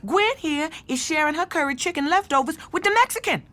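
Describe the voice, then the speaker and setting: An actress playing a hard, bitter middle-aged American aunt who works as a supervisor, scolding and commanding in a naturalistic radio play. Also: female voice, high-pitched, animated, a little fast, pushed and bright. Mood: harsh contempt. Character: hard, bitter older aunt, jaded detention-center supervisor.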